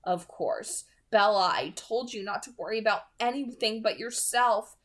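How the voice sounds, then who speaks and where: A woman playing a brooding, protective young man in love, softly reassuring, in a novel read aloud. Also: a little high, moderate pace, lightly acted. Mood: apologetic. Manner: soft, reassuring, earnest. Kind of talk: audiobook-style character reading.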